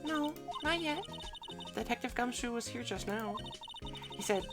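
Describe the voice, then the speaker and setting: An excitable young girl, acted out by a woman in a video game, high-pitched and swooping. She is earnest and eager, quick to apologize, with music playing behind her. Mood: cheerful.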